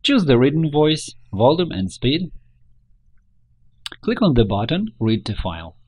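A man narrates a scripted how-to tutorial as its presenter. His pitch moves up and down in a very animated way as he explains each step. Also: a little slow, breathy edge.

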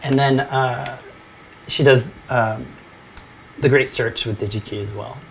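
A man co-hosting a casual live stream from a home workshop, slightly hoarse and rambling with easy laughs. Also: medium pitch, measured pace, a little hiss or hum, rough recording. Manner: relaxed, rambling, laughs often. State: losing his voice. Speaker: co-host.

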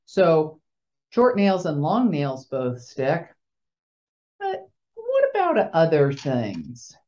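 A woman in her fifties, a chaplain giving a gentle, playful children's chapel talk from a small office over a webcam. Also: lowish voice. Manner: gentle, playful, slow and clear like talking to children. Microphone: webcam video call. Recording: slightly dull lo-fi webcam audio.

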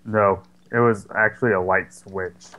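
A man's voice: fairly low pitch, steady tone, unhurried, a bit bright. A young man chatting casually and sarcastically over a co-op horror game, swearing often. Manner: swears a lot, jokey and sarcastic. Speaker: gaming channel host. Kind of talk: casual co-op gameplay chat.

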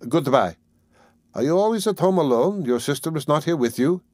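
A man's voice: on the high side, animated, normal speed, a little mellow, dry recording, studio quality, voice pushed up. A man voicing a brooding, guarded young man. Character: poor, tense young man, brooding and secretive.

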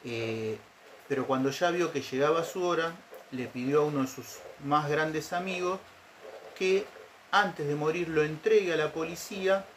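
A man's voice: on the high side, normal speed, a bit bright, a little hiss or hum, rough recording. A middle-aged man telling a folk legend to camera from a living room sofa. He explains step by step and keeps checking in with his listeners. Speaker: storytelling show host. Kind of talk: casual storytelling to camera.

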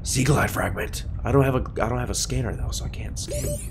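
A man with a congested, nasal voice chatting excitedly while playing a game. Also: medium pitch, fast talker, a little hiss or hum. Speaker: gaming video host. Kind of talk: let's play commentary. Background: game music.

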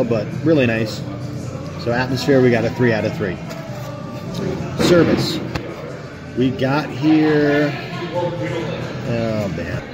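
A man, casually reviewing food on a phone at arm's length in a pub. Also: mid-range voice. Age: 30s. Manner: upbeat, chatty, rambling with fillers. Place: booth in a pub restaurant. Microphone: phone held at arm's length.

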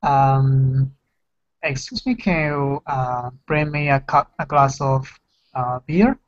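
Slow-speaking man with a Chinese accent. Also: mid-range voice, no echo. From China. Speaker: English learner. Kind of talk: halting classroom answers. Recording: dull lo-fi video call.

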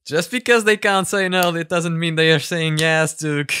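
A man in his twenties giving casual, animated reaction commentary with halting starts, close on a studio mic. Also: a little high, clear, resonant tone. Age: 20s. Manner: halting, animated, laughs easily. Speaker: host of a reaction video series. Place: small room at home, indoors. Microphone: close boom-arm studio mic.

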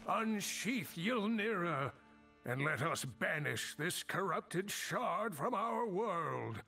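An older actor playing a grave, weary old scholar and mentor in a video game, speaking in a solemn, halting way. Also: male voice, sits high, big pitch swings, gravelly, bright, forceful tone, husky, faint music behind, full character voice. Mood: grave urgency. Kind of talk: dramatic video game dialogue.

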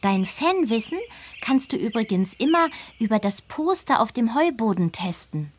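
A woman's voice: a little high, very animated, normal speed, a little hiss or hum. A woman voicing a friendly, confident teenage farm girl in a children's game, upbeat and encouraging. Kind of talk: children's game dialogue acting.

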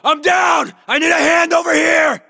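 Shouting, high-strained combat soldier. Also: male voice, steady tone, normal speed, creaky, long reverb tail, a faint crowd, full character voice. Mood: urgent shouting. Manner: clipped military callouts, urgent, commanding. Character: battle-hardened male soldier, urgent and commanding. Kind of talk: video game combat barks.

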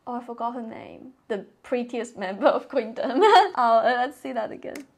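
A young adult woman giving casual, excited reaction commentary in an expressive, exclaiming way, recorded on a lapel mic in a bedroom. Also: on the high side, normal speed, creaky, slight background noise. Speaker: reaction video host. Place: small bedroom, indoors. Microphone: clip-on lapel mic.

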